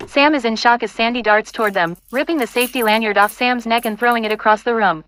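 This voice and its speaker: A woman's voice: a little high, fast, a bit bright, slight background noise. A woman reading scene directions as the scripted narrator of an audio play.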